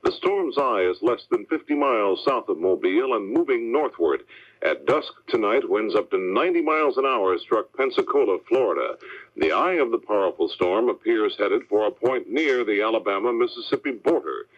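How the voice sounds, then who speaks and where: A man's voice: on the low side, expressive, normal speed, dull audio. An American man anchoring a scripted 1970s network radio newscast, heard on a vintage AM recording.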